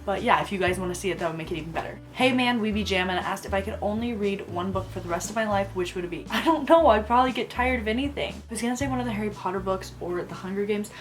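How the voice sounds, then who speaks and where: A young woman hosting a casual book vlog Q&A from her bedroom, her voice a little rough from a coming cold, gushing excitedly about her favorites. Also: mid-range voice, quick pace, few pauses, slight background noise. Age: young adult. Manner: gushing, excitable fangirl chatter. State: voice a bit rough from getting sick. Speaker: book vlogger. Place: bedroom with bookshelves, indoors.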